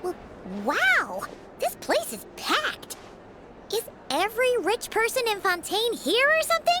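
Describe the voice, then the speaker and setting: Brief: tiny excitable game sidekick, very high and bouncy, third-person talker. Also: female voice, a little slow, very noisy, heavily acted. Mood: excited. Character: tiny floating girl companion, chirpy, excitable. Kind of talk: video game dialogue. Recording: game audio over loud music.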